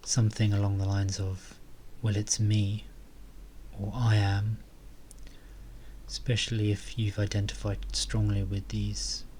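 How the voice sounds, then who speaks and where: A man softly leading a guided meditation, calm and unhurried, with long pauses between phrases. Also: lowish voice, a little hiss or hum.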